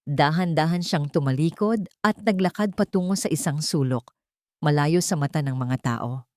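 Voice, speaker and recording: Brief: woman storyteller, lower voice, dry close sound, measured and dramatic. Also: a little mellow, dry recording. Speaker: narrator. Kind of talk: scripted romance story narration.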